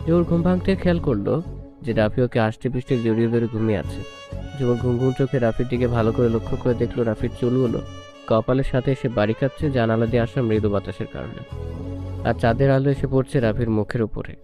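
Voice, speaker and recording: A man's voice: medium pitch, fast talker. A man reading a scripted romantic story as a narrator. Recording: music bed under the voice. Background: prominent instrumental music.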